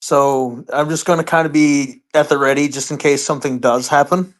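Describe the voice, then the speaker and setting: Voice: medium pitch, steady tone, moderate pace. A tabletop role-playing player talking casually and hesitantly, thinking aloud while describing what their character does. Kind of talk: casual tabletop roleplay chat.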